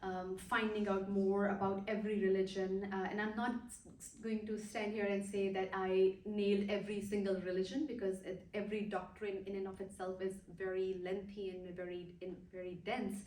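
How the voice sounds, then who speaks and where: A woman in her thirties from Pakistan giving a personal talk, earnest and hesitant, pausing often with fillers. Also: mid-range voice, flat delivery, darkish tone, reverberant, distant mic, rough recording. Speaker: guest speaker.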